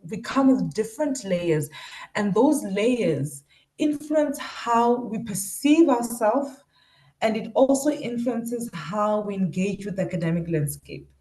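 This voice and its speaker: A woman's voice: medium pitch, measured pace, warm tone. A South African woman lecturer giving a reflective academic talk with personal anecdotes. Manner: reflective, acts out quoted remarks. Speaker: university lecturer presenting research. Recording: roomy, echoing sound.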